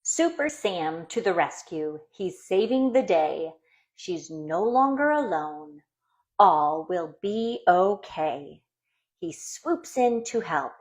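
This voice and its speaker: A woman reading a children's storybook aloud in an expressive, deliberate way for young listeners. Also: on the low side. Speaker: storybook reader.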